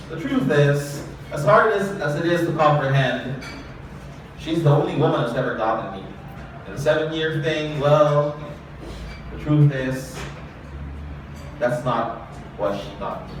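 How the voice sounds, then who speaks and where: A man's voice: medium pitch, a little slow, pauses often. A man performing spoken word poetry live, wry and anxious, with swearing and repeated refrains. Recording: roomy echo, far from mic, ambient noise.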